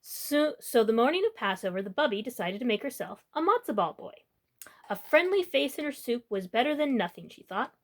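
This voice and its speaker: A woman's voice: fairly high pitch, animated, brisk. A woman hosting a storytime, reading a children's picture book aloud as narrator.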